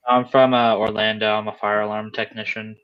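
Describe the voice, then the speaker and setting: A man from Florida, a guest on a livestream, talking in a hesitant, halting way. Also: fairly low pitch, level tone, clean tone, muffled recording. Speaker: stream guest. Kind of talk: casual chat.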